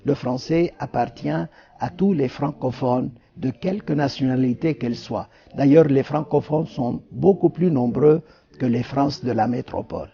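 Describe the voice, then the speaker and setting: An older man from Lebanon, an Arabic speaker, giving formal, deliberate interview answers with frequent hesitations. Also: mid-range voice, highs cut off.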